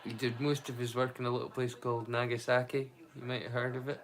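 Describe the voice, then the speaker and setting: A man's voice: mid-range voice, flat delivery, fast talker. A man hosting a live stream in a casual, rambling, chatty way.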